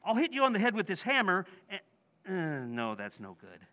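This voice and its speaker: A man's voice: high-pitched, wildly swooping pitch, brisk, sharp tone, full character voice. An actor playing a bumbling, guilt-ridden middle-aged American husband and father in old-time radio comedy style, flustered and pleading in colloquial working-class talk.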